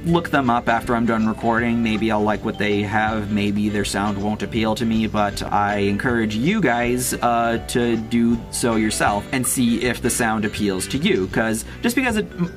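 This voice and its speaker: A man in his twenties hosting a casual unboxing vlog, chatting and giving his opinions on music as he goes. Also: medium pitch. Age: 20s. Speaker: YouTube host.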